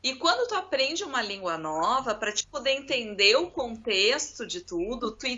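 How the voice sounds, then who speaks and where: A woman, co-hosting a casual chat and telling lively stories straight to the viewers. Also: fairly high pitch, moderate pace, breathy edge. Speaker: co-host. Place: abroad, remote call.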